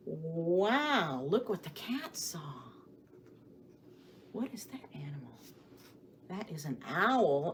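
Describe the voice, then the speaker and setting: A woman hosting a story time for young children, speaking to them with questions and expressive emphasis as she shares rhymes and picture books. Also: lowish voice, slight background noise.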